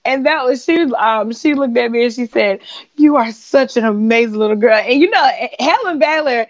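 Woman, twenties, higher voice, casual animated storyteller, muffled low-fidelity sound, interview guest. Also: moderate pace. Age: 20s. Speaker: gospel singer being interviewed. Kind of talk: casual chat.

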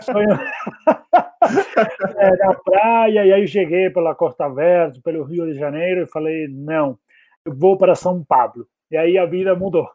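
A man's voice: high voice, unhurried, dry recording. A man from Argentina with an Argentine accent, a native Spanish speaker, talking casually as an interview guest over a narrow-band connection. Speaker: podcast guest, community marketing specialist.

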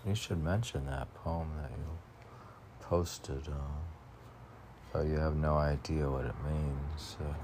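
Older guy rambling poetry podcast, really slow n gravelly, keeps asking himself stuff, bit of background noise. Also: a bass voice, a little mellow. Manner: musing, halting, questioning himself aloud. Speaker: poet reading own poems on a podcast. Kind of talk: rambling solo talk with poem readings.

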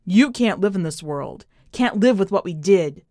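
A woman's voice: medium pitch, lively intonation, moderate pace, dark tone, no echo, clean studio sound, natural voice. A woman narrator voicing a haunted, fearful man with a curt, bleak and angry manner in an audio short story.

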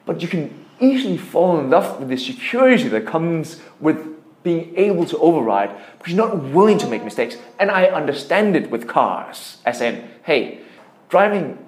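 Echoey, higher-voiced Danish-accented man. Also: sing-song, moderate pace. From Denmark. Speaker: tech startup founder being interviewed. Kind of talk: explanatory interview talk. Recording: echoey room.

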